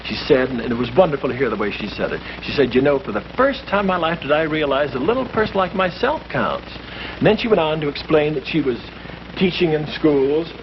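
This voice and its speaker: A man's voice: on the high side, fairly quick, highs cut off, background noise. Earnest preacherly man on an old film soundtrack. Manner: earnest, preacherly, lively emphasis. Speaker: priest leading a discussion. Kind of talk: earnest scripted speech in a 1951 short film.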